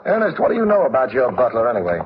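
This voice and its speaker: Smooth witty gentleman sleuth, lively delivery, muffled old radio show. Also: medium pitch, very fast. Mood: brisk. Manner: urbane, dryly joking. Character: suave, wry, unflappable gentleman detective. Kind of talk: 1940s radio acting. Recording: muffled, faintly noisy old radio recording.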